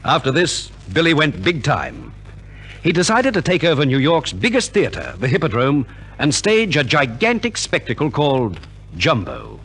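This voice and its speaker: Lively, higher-voiced male radio narrator. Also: normal speed, husky. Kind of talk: scripted 1950s radio narration. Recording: vintage 1950s radio transcription.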